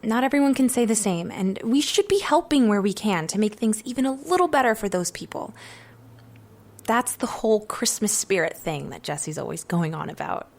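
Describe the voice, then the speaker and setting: A woman's voice: medium pitch, lively intonation, moderate pace. A young woman playing an earnest, self-doubting drama student in an audio drama.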